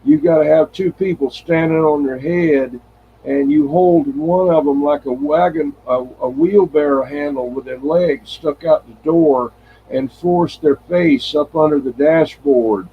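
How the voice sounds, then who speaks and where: Husky man chatting on CB radio. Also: a little high, unhurried. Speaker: host of a CB radio live stream. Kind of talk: casual CB radio chat. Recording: dull, lo-fi CB radio sound.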